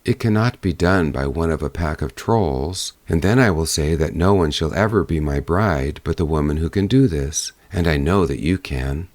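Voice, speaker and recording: Storybook prince, young yet low-pitched, earnest and commanding, close dry sound; male reader plays him. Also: moderate pace, few pauses, a little mellow, no room sound. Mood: earnest, hopeful.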